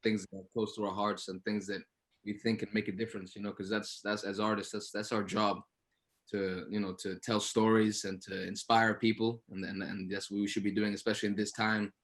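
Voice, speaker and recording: A man's voice: on the low side, little pitch movement, fairly quick, a bit bright. A man, an actor, talking casually and informally in a panel discussion. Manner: informal, peppered with "you know".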